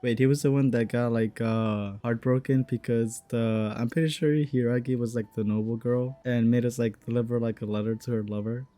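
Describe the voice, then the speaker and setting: A woman's voice: extremely low pitch, fairly even intonation, fairly quick, hardly any pauses, mellow. A woman giving casual, laid-back and wry commentary as she streams a game.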